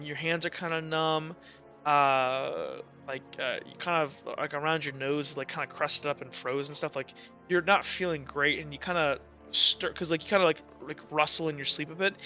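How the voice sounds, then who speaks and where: A man running a tabletop roleplaying game, improvising narration in a halting, rambling way as he thinks aloud. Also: on the high side, sharp tone. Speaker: game master. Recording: dull lo-fi audio, music underneath. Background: music.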